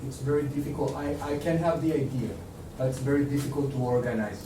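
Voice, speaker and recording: A man's voice: mid-range voice, fast talker. A man taking part in a course, answering the lecturer hesitantly and searching for words. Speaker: course participant. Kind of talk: answering a question in class. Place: lecture room. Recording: echoey room, distant mic, noisy.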